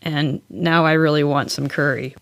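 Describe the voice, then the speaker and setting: American woman, podcast host, slightly low voice, chatty narration, wry asides, very dry close recording. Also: steady tone, normal speed, no room sound. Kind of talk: chatty scripted solo narration.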